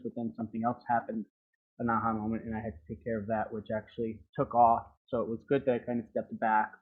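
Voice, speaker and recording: A man talks in a measured, reflective way as a regular speaker in a casual audio room. His voice sits at a middle pitch. The sound is tinny and phone-like. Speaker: regular speaker, small business owner. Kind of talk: casual chat.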